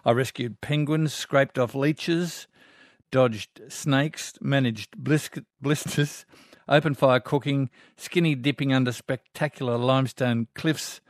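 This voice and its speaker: A man's voice: medium pitch, slow pace, no echo. An older Australian man hosts a talkback radio show, chatting in an easygoing, folksy way as he reads out letters from listeners. His voice sits in the middle of the usual male range. It is recorded close and dry in a studio. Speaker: radio host. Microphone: studio microphone.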